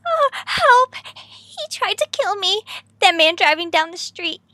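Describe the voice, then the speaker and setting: A woman's voice: very animated, a little slow, bright, forceful tone, dry recording, heavily acted. A woman playing a terrified, frantic attack victim crying for help in a radio drama. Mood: panicked, frantic. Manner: frantic, shrill.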